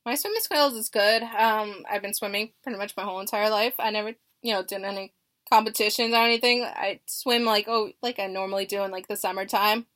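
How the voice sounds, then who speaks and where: A young woman job applicant answering phone interview questions in a nervous, halting and hesitant manner. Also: mid-range voice, fairly even intonation, fairly bright tone. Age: young adult.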